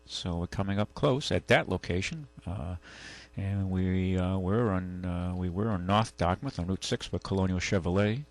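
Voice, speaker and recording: A man's voice: deep voice, moderate pace, few pauses. An older man hosting a call-in radio talk show, rambling and folksy with many restarts. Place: radio studio.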